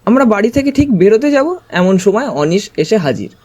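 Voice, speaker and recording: A man's voice: high voice, big pitch swings, moderate pace, a little mellow, husky. A man giving a dramatized first-person narration of a mystery story. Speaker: story narrator, also voicing the narrating character.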